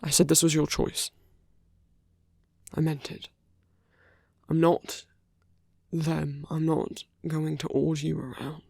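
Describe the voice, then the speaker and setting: An actor playing a brooding, protective male villain in love with his enemy, in an intimate audio roleplay with a breathy voice that often drops toward a whisper. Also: on the high side, little pitch movement, slow, long pauses, a little mellow, no echo, studio quality, full character voice. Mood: earnest pleading. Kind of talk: ASMR roleplay audio drama, intimate monologue.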